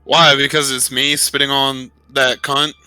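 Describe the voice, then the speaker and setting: A man's voice: medium pitch, level tone, unhurried, bright, forceful tone, smooth, dry recording. A man playing a tabletop roleplaying game, bantering casually in a blunt, cheeky way.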